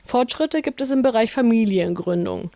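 A woman's voice: fairly high pitch, lively intonation, normal speed, mellow, dry recording. Female radio reporter, dry studio sound. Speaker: sports news reporter. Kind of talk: scripted radio report narration.

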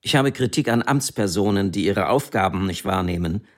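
An actor reading the court statement of a defiant ex-soldier defendant in a combative, insistent manner. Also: male voice, deep voice, expressive, moderate pace. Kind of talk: re-enacted courtroom testimony.

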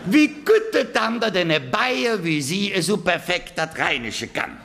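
A man voicing a friendly older gentleman who gushes politely at him in the street. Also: high-pitched, very animated, a little slow, gravelly, a little hiss or hum, pitched above the performer's own voice, heavily acted. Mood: gushing. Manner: effusive, overly polite. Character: friendly, gushing older male fan.

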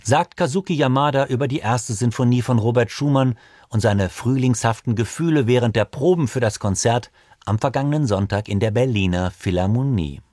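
Man, radio music presenter, scripted narration, medium pitch, dry studio sound. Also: darkish tone, no echo.

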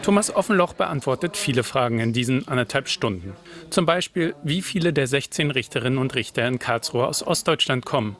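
Male reporter, scripted news voice-over, mid pitch, measured. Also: expressive, a bit bright.

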